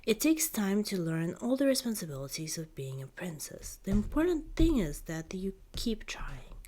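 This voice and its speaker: A woman voicing a kindly, patient royal governess in a soft, soothing whispered storybook reading. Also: medium pitch, very animated, normal speed. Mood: comforting.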